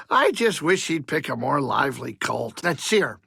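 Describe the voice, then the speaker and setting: An actor voicing a grumpy, wisecracking older alien pilot and cook in a video game, sarcastic and blustery. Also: male voice, medium pitch, very animated, unhurried, gravelly, pushed and bright, husky, no room sound, studio quality, heavily acted. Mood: chatty, gossipy. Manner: sarcastic, blustery, grumbling banter with exasperated outbursts. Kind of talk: video game character dialogue.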